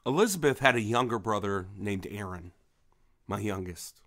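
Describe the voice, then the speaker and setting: A man acting in an audio drama as a menacing, grieving middle-aged father protecting his daughter, speaking with halting intensity. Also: lowish voice, lively intonation, fairly bright tone. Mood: tense, recounting. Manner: intense, halting, with repeated words.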